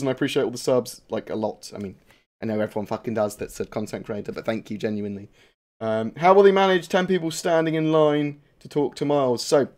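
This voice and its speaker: Young male streamer, casual and rambling, mid pitch, swears a lot, many ums. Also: a little mellow. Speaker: streamer answering viewer questions. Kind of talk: casual live-stream chat.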